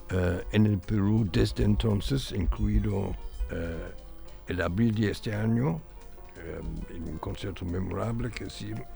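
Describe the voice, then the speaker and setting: A middle-aged man from England speaks slowly in a low voice as a musician being interviewed. His delivery is halting, searching for words, with a gravelly edge. Music plays faintly behind him. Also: mellow. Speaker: guest musician. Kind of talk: casual radio interview.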